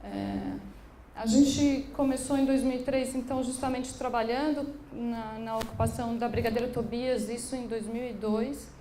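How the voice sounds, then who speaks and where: A woman's voice: on the high side, fairly even intonation, moderate pace, mellow. A woman from São giving a public talk as a curator, speaking hesitantly with many restarts and fillers. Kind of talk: public lecture. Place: room in an occupied building. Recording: echoey room, faint background noise.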